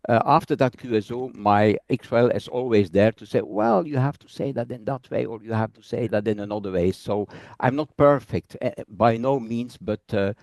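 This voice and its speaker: A man's voice: medium pitch, normal speed, hardly any pauses, a little mellow, dry recording. A Belgian man with a Flemish accent, a native Dutch speaker, giving a friendly presentation in English. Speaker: guest presenter. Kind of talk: presentation over video call.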